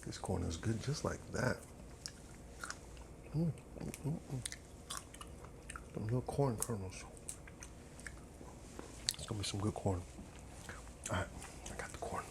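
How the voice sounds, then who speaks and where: A man's voice: on the low side, lively intonation, unhurried, creaky, long pauses, background noise. A man chatting casually in an ASMR cook-along style as he cooks, playfully repeating and drawing out his words. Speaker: host chatting while cooking. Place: home kitchen, indoors.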